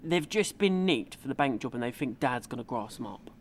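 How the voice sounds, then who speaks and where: A London teenage lad, blunt and cheeky with his parents , acted by a man. The voice is mid-pitched and speaks as in a comedy radio play. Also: animated, fairly quick, lightly acted. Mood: urgent. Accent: London English.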